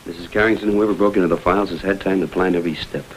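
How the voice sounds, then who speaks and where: An actor playing a tough, principled investigator in a vintage TV detective drama, speaking in a clipped, authoritative, businesslike way. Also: male voice, deep voice, steady tone, very fast, a little hiss or hum, close to an everyday voice, low-quality recording. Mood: steady, cautioning. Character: tough, principled hired investigator, firm and blunt. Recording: vintage TV soundtrack, faint music.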